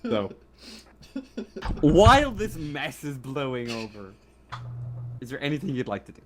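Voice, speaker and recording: A man's voice: mid-range voice, sing-song, unhurried, crowd noise. A man acting as game master, narrating a tabletop game casually with a hesitant, stumbling delivery. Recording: online voice chat with background noise.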